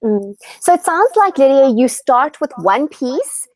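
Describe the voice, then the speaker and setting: Higher-pitched woman interviewer. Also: sing-song, measured pace, darkish tone, no echo, low-quality recording. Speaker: interviewer and personal stylist host. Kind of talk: casual interview questioning.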